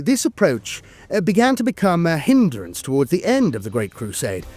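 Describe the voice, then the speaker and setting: A man reading scripted lore narration as an in-world chronicler, formal and measured, with frequent hesitations. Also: fairly high pitch, a little mellow.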